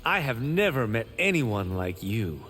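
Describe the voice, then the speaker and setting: A cocky, vain young monk. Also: male voice, mid-range voice, very animated, a little slow, fairly bright tone, faint music behind. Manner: boastful. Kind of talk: video game dialogue.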